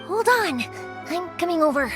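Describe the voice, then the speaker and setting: A very high-voiced young woman, chatty and warm-hearted, with lively, expressive swings. She sounds like an animated game heroine, with music clearly behind her. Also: normal speed. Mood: startled, cautious. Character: bright, chatty young woman, warm-hearted fireworks maker, quick-thinking. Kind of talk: animated video game character acting.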